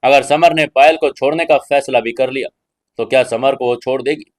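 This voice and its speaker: A male narrator with a medium-pitched voice reads a scripted drama serial. His delivery is dramatic storytelling, made for an audio series. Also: fairly even intonation, moderate pace, dry recording.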